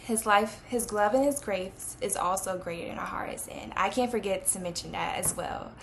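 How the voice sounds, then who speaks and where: A woman's voice: mid-range voice, normal speed, gravelly, a little hiss or hum. A woman of twenty talking casually to the camera as a vlogger, with many fillers. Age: 20s.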